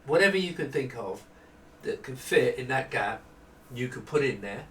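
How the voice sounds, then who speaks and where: A man in his fifties giving a casual, unscripted product walkthrough, explaining haltingly as he thinks aloud. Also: medium pitch, lively intonation, fairly bright tone. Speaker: maker presenting a product prototype. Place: small home office room. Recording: roomy, distant mic, faint noise.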